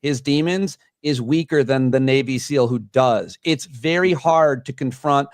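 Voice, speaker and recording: A man's voice: mid-range voice, no room sound. A man in his forties from Central New York, a comedian guest talking passionately and bluntly in an interview. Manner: passionate, outspoken, rapid bursts. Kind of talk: interview conversation.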